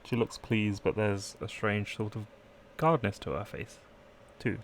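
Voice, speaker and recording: Male game streamer, low register with plenty of fry, reading dialogue and narration aloud between playful asides. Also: steady tone, a little slow, a little hiss or hum. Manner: playful asides, trying out accents. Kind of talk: live let's play, reading game text aloud.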